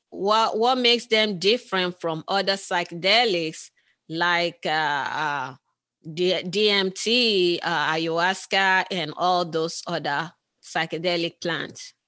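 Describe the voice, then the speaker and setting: An interviewer from Nigeria, asking slow, carefully repeated questions on a remote call. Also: male voice, high voice, sharp tone, no room sound.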